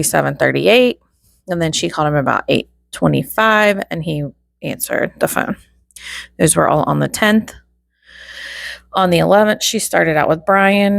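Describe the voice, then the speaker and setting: Low, creaky-voiced woman commentator. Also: measured pace, no echo, studio quality. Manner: methodical, item-by-item recital with wry asides. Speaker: true crime commentator, host. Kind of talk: informal commentary reading through a phone call log.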